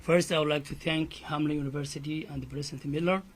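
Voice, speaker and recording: A man's voice: a little high, steady tone, quick pace, slight background noise. A man from Somalia with a Somali accent, an imam giving an earnest press conference statement full of "you know" fillers.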